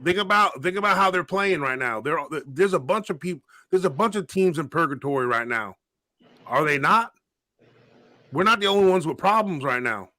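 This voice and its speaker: A man's voice: a little high, lively intonation, moderate pace, bright, forceful tone, no echo, clean studio sound. A man in his forties co-hosting a casual sports debate, arguing his point and repeating himself to drive it home. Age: 40s. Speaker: podcast co-host.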